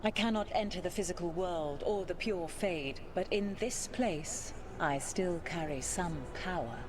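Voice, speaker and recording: Woman as a low, regal ancient goddess. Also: noisy background, natural voice. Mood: solemn. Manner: formal, stately, measured diction. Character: ancient elven goddess, regal, imperious, testing judge of worth. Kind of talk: dramatic fantasy video game acting.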